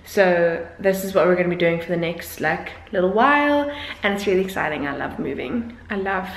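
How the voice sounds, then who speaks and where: A woman's voice: fairly low pitch, a little fast, echoey room. A woman in her twenties chatting casually to a handheld camera, upbeat and full of filler words, filmed in empty rooms and a parked car. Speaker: vlogger. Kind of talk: casual vlog chat to camera. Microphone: handheld camera at arm's length.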